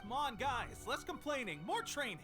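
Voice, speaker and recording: A man's voice: very high voice, big pitch swings, moderate pace, music in the background. A man playing an eager, friendly young soldier in an anime fantasy game, earnest and chatty. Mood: upbeat, proud.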